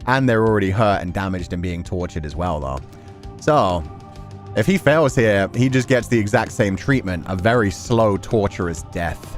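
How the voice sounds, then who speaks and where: A man's voice: fairly low pitch, animated, normal speed, faint music behind. A man giving casual, candid game commentary, reacting as he goes and swearing freely. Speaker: streamer playing a visual novel. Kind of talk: casual game commentary with read-aloud text.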